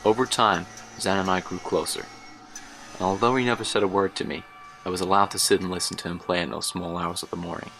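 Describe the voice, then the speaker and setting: A man's voice: low-pitched, steady tone, a bit bright, faint music behind. An actor playing a haunted older man, recalling his youth in a grave, literary horror monologue for an audio drama. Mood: wistful. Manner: grave, literary, measured storytelling. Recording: noisy track with ambience behind.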